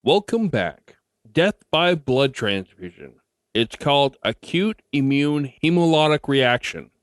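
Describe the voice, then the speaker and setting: A man's voice: on the high side, animated, slow pace, no echo. A man hosting a podcast with a scripted delivery, recorded close on the mic. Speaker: podcast host.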